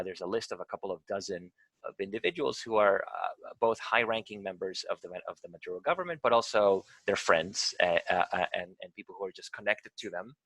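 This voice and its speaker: Low-voiced guy from venezuela running a zoom workshop, lots of ums, dry close sound. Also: sharp tone, dry recording, rough recording. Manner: hesitant, many ums and uhs, restarts. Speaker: investigator leading a workshop. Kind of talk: live online tutorial walkthrough. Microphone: video call.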